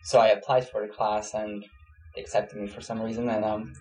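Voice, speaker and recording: A young man from Switzerland, a student answering interview questions in a relaxed, casual way with plenty of fillers. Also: on the low side, brisk, low-quality recording. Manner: relaxed, many fillers, laughs easily.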